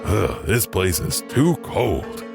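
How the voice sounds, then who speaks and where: A man doing a wandering janitor who tells creepy tales in an audio drama. His voice sits very low and rumbling, and he lets out wry little chuckles between facts. Music plays underneath him. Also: sing-song, slow, vocal fry, exaggerated voice. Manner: chuckles to himself, wry asides. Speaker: narrator. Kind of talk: horror anthology narration.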